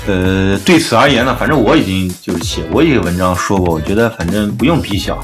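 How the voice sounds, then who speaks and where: A man's voice: low voice, lively intonation, fast. A man hosting a casual podcast chat. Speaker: podcast host. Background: background music.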